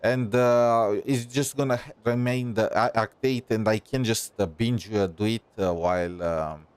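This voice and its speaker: A man giving casual live-stream commentary on a game, halting as he thinks aloud. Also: fairly low pitch, darkish tone, smooth. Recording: stream with faint game music.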